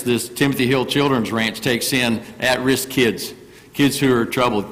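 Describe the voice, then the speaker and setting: A man's voice: mid-range voice, a bit bright, long reverb tail. An older man, preaching in a church through a microphone and telling a personal story in an earnest, folksy way. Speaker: guest preacher. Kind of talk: sermon. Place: church sanctuary. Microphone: microphone through speakers.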